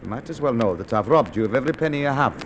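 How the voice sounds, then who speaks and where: A man's voice: mid-range voice, racing, muffled recording, a little hiss or hum, natural voice. An actor playing a polite, scheming man who murders his business partner, in an old radio drama. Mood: cool menace. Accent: Australian. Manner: calm, polite and menacing. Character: cold scheming male murderer, smooth and polite. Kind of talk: 1950s radio drama acting.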